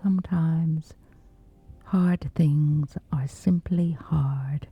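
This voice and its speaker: A woman gently guiding a scripted sleep meditation in a soothing, breathy whisper, unhurried throughout. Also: low voice, fairly even intonation, no room sound, lo-fi sound. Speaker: meditation guide.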